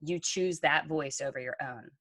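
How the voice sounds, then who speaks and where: A woman's voice: sits low, moderate pace, fairly bright tone, no echo, clean studio sound. A woman co-hosting a podcast, talking earnestly and reflectively with frequent ums. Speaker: podcast co-host. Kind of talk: conversational podcast talk.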